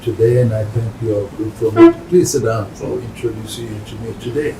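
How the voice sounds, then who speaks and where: A man with a fairly low, gravelly voice gives a formal response. The room is echoey, with clear noise behind him. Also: moderate pace, dark tone, faint music behind. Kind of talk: formal spoken response to visitors.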